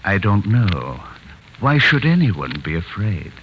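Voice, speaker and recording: An actor playing a sinister, theatrical wax museum owner and fanatical connoisseur of crime, pompous and insinuating, in a 1940s radio thriller. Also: male voice, fairly low pitch, sing-song, takes it slowly, muffled recording. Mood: smooth, menacing. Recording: noisy 1940s radio recording.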